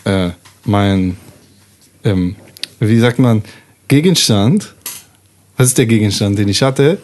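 Man putting on a halting, secretive foreign painter. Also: on the low side, a little mellow, voice pushed down. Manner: halting, searching for words in deliberately broken grammar like a foreigner. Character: halting, secretive male trailer-dwelling painter with little money.

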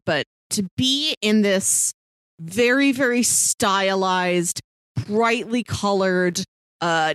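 A woman co-host in casual discussion, recorded dry with very little room sound. She speaks at a middle pitch for a woman and describes things thoughtfully, piece by piece. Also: dry recording. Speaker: film podcast co-host.

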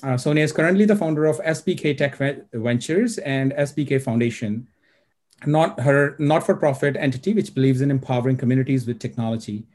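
A man's voice: mid-range voice, brisk, darkish tone. A man hosting an online speaker-series interview, giving formal introductions with many hesitant "uh" fillers.

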